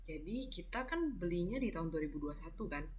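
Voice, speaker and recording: A woman's voice: lowish voice, expressive, fairly quick, slightly rough. A young woman with an Indonesian accent explains step by step as a tutor, conversationally, often asking questions and answering them herself. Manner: conversational, asks and answers own questions, frequent tag words. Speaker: accounting tutor.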